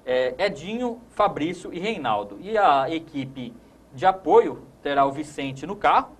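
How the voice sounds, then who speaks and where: A man's voice: fairly high pitch, big pitch swings, a little slow, breathy edge. A man in his thirties with a Brazilian accent, hosting a conversational sports talk show in a studio. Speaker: host.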